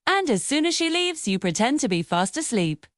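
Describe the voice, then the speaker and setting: Woman reading as a shrewd old dame, high-voiced. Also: sing-song, moderate pace, dry recording, studio quality. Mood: advising. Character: old woman advising a soldier, shrewd and helpful.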